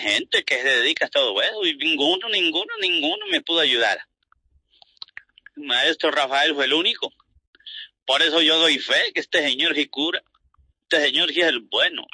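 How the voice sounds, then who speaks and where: A man from Honduras gives a spoken testimonial. His voice is intensely bright and husky, in a dry sound, and he talks plainly, haltingly and with repetition. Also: on the high side, no echo. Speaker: client giving a testimonial.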